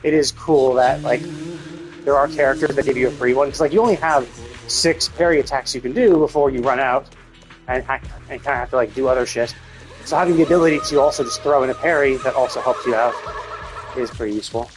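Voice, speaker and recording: A man's voice: mid-range voice, quick pace, rough recording. A man streaming a game, chatting casually and rambling as he thinks aloud. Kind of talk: casual live gameplay commentary. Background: game music in background.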